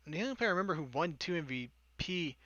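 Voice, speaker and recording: Bright-toned male let's player, sarcastic. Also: a little high, lively intonation, very fast, no echo, muffled recording. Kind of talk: reading a visual novel aloud with casual commentary.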